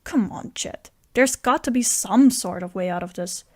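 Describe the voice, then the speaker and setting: A woman's voice: mid-range voice, normal speed, a little mellow, no room sound, studio quality, natural voice. A woman playing an earnest, scheming friend who narrates an audio drama tale. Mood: consoling. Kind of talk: audio drama dialogue and first-person narration.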